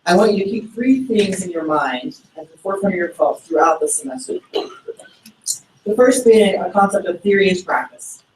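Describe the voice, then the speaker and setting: A man's voice: sits high, lively intonation, moderate pace, a little hiss or hum. A man giving motivational closing remarks, urging the listeners on with repeated "right?" and "okay?". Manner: exhortative, with repeated tag questions. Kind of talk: motivational speech. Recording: roomy hall, far from the mic.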